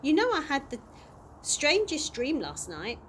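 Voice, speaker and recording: Storybook tramp, female reader: courteous, grandly self-pleased gentleman of the streets, high-set and animated, audible background noise. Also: a little slow, heavily acted. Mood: pleased, chatty. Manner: polite, old-fashioned, grandly self-pleased. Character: cheerful, vain, courteous old male tramp. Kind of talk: storybook character reading.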